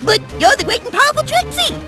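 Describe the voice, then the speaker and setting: A man voicing an excitable, pushy little male pony in a cartoon, with a whiny manner. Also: extremely high pitch, quick pace. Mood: excited. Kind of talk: animated cartoon acting. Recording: loud music behind the voice.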